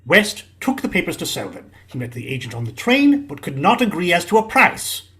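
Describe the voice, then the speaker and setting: A man's voice: high-pitched, sing-song, a little fast, pushed and bright, full character voice. A man acting a cocksure, defensive police inspector in a radio play, eager and fussy. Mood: smugly confident.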